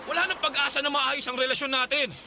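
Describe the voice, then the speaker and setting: Exasperated young lover, raised, high Filipino voice with rough creak, protesting; radio drama over music. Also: male voice, fairly even intonation, fast talker, sharp tone, slight background noise, full character voice. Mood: fed up. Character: exasperated young man caught between two lovers. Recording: dramatic music bed behind voice.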